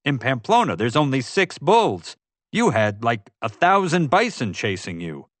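A man performing an excitable, carefree adventurer in a storybook. He is chatty and casual, with very animated intonation, recorded dry and close. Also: medium pitch, moderate pace, dry recording. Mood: thrilled. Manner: gushing, talkative, casual. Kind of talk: audiobook character voice.

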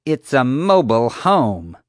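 A man's voice: medium pitch, sing-song, slow pace, dry recording, clean studio sound, voice pushed up. A man voicing a rude, pompous, dim-witted woman security officer in an audiobook, with an officious, accusatory way of talking. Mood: scornful. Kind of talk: audiobook character voice.

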